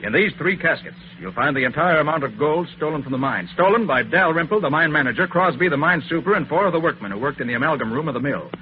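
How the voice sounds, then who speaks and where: An actor playing a shrewd, commanding master detective in an assured 1940s radio drama style. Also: male voice, fairly high pitch, animated, quick pace, few pauses, close to an everyday voice. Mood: assured, explanatory. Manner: assured, explanatory, authoritative. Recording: muffled, noisy 1940s radio recording.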